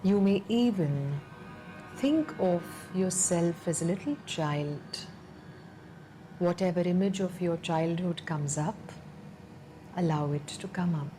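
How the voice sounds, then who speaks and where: A woman leading a guided meditation in a calm, soothing, measured way, leaving long pauses between phrases. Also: on the low side. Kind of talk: slow guided meditation narration. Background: background music.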